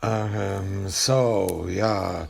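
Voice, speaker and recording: A man playing a timid, doomed underling in an audio drama, speaking in a halting, anxious, gravelly voice. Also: low voice, fairly even intonation, noisy background, exaggerated voice. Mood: uneasy. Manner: halting, drawn-out. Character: timid, doomed male underling, hesitant and pleading.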